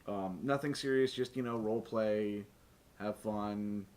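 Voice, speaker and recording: A man's voice: on the low side, a little slow, a little mellow. A man hosting a tabletop game stream as game master, talking casually and jokily with plenty of swearing. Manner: profane, joking, laughs often.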